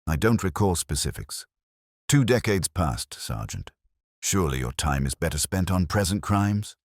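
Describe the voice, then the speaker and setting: A man voicing a cold, evasive older male town official in a measured, formal, condescending way. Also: deep voice, sing-song, no echo, studio quality, exaggerated voice. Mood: guarded, condescending.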